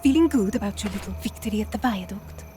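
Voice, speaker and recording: An actress playing a grave, determined Italian partisan fighter in a video game, speaking earnestly and softly with an Italian accent. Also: female voice, mid-range voice, faint music behind, lightly acted. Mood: urgent, stern. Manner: hushed, earnest, measured. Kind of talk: restrained dramatic game cutscene acting.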